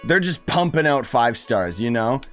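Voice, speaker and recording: A man's voice: mid-range voice, sing-song, normal speed, fairly bright tone. A man giving casual live-stream game commentary, blunt and griping as he chats to viewers. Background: video game music and dialogue.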